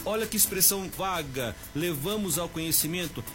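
A man with a Brazilian accent giving a slow, deliberate language tip as a radio teacher. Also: high-pitched, expressive, music in the background.

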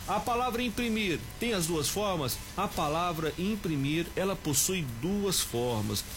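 A man teaching a quick grammar point on the radio. His voice sits a little high for a man, and he talks to the listeners in a friendly, explanatory way. Faint music plays underneath him. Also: lively intonation. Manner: explanatory, addresses listeners as "gente". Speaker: Portuguese language teacher. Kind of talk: radio grammar tip segment.

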